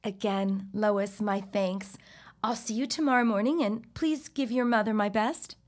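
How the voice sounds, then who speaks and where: A woman's voice: medium pitch, measured pace, lightly acted. An actress playing a strong-willed, well-to-do 1910s lady with formal, firm phrasing in an audio drama. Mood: gracious. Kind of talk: period audio drama acting.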